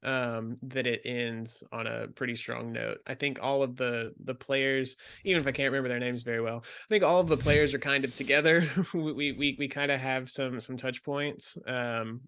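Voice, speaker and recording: A man's voice: medium pitch, fairly even intonation, normal speed, few pauses, bright, forceful tone, clean tone, no room sound. A man on a podcast panel talking casually, in a tentative, hesitant way. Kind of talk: casual discussion.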